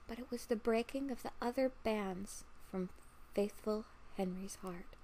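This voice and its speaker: Woman, storyteller, fairy-tale narration, slow pace, medium pitch. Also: warm tone, poor audio.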